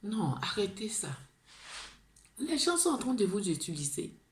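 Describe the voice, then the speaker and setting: A woman's voice: fairly high pitch, a little mellow, reverberant. A woman with an Ivorian French accent gives an impassioned monologue, pleading, repeating herself and restarting words. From Ivory Coast. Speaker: video blogger.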